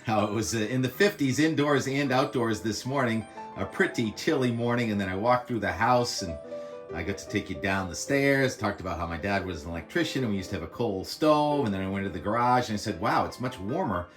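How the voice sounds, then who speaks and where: A man with a loud voice, chatting casually about the weather outdoors, rambling with lots of fillers, recorded on a camera mic with no lavalier. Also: fairly low pitch, a little fast, over music. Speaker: weather vlogger. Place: outdoors by the water in the morning.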